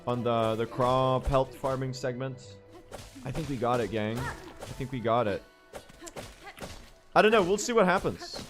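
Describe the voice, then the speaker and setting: A young man talks to his livestream audience in a loose, rambling way, often turning to chat with questions. His voice sounds hoarse and a bit worn out, yet he stays upbeat and excitable, with a middling pitch. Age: young adult. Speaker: speedrun streamer. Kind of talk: casual livestream chat to viewers.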